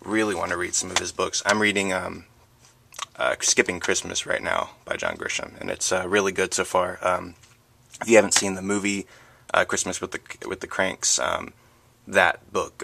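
A noisy handheld home recording of a man with a very deep voice. His delivery has lots of vocal fry and is casual and unscripted. He hesitates and trails off as he goes through items one by one. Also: level tone, moderate pace. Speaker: book vlogger. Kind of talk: casual chat showing a collection item by item. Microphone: handheld camera, close behind it. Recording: noisy home camera audio with handling clicks.

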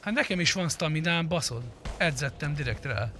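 A man's voice: on the high side, expressive, pushed and bright, soft background music. A man chatting casually and swearing as he plays an online roleplay game. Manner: swears often, reacting to gameplay. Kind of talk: casual gaming chat, profane.

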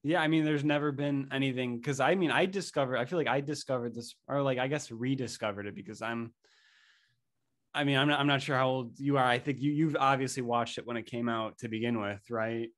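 An eager young man in his twenties, hosting a casual podcast interview into a desk mic in a small room. His middle-pitched voice is a little under the weather, and he talks in an excited, chatty way. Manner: upbeat, fast chatty delivery. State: a little sick. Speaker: podcast host. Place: small indoor room, plain wall. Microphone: desk microphone in frame.

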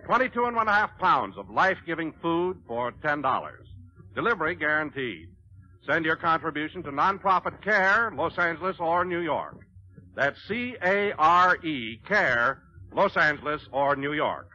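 An American man announces in scripted 1940s radio style. His voice is somewhat high and very bright. The old recording is muffled with faint noise. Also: very animated, moderate pace. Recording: dull, faintly noisy vintage radio recording.

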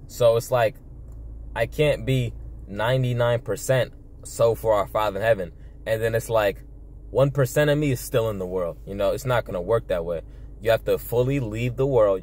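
A man's voice: on the low side, a little mellow. A young man talking earnestly to camera in an informal preaching style, repeating himself and often saying "you know", recorded on a phone in a car. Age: young adult. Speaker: religious video blogger. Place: inside a parked car at night.

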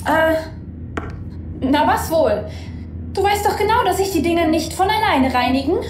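A woman's voice: very high voice, animated, measured pace, slight background noise. An actress voicing a young android woman who is longing and sad in a sci-fi audio drama. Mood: defensive.

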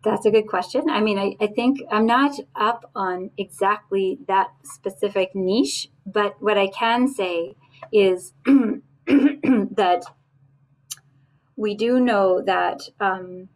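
Measured woman on a headset mic. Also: medium pitch, low-quality recording. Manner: thoughtful, measured. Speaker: psychiatry professor, panelist. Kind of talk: webinar panel answer. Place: home room.

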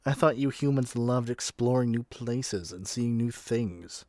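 Guy playing a bossy immortal god, unhurried and theatrical, dry close sound, asmr roleplay. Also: mid-range voice, darkish tone, no echo, natural voice. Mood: impatient, exasperated. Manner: imperious, theatrical, addresses listener as mortal. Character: haughty, impatient male god of magic rooming with a human.

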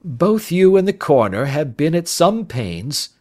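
A man voicing a sharp, confident Victorian detective in an audiobook, speaking in an assured, articulate and emphatic way. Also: fairly high pitch, sing-song, a little slow, voice pushed up.

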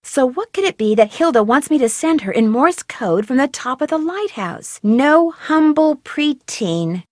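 A bright, curious teenage girl detective tosses off playful quips as she pokes around a video game. Her fairly high voice leaps up and down with lots of life. The sound is close and dry, with no room around it. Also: lively intonation, a little slow, no room sound. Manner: upbeat, playful quips to herself. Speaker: game protagonist.

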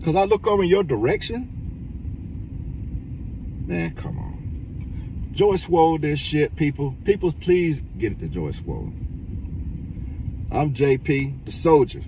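A man's voice: very animated, measured pace, long pauses, warm tone. Blunt middle-aged man ranting from his car. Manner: blunt, profane, rising exasperated emphasis. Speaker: reaction vlogger. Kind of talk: casual commentary rant. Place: inside a parked car. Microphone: phone camera at arm's length. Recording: car rumble and street noise, distant mic.

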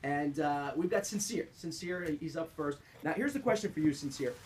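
A man's voice: fairly high pitch, animated, moderate pace, a little hiss or hum. A man hosting a live radio interview in a studio, upbeat and chatty, often repeating himself. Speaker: radio host.

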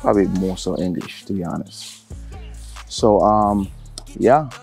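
A young man from Cameroon, talking casually to camera as a travel vlogger, relaxed and rambling with plenty of fillers. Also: sits low, unhurried, vocal fry, soft background music. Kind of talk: casual vlog talk to camera.

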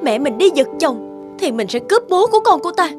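Cartoon role: wounded, vengeful adult woman. High register, dramatic swings, music underneath. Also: big pitch swings, brisk. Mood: bitter. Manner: dramatic, resentful. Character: bitter, wounded adult woman seeking revenge. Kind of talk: cartoon dubbing.

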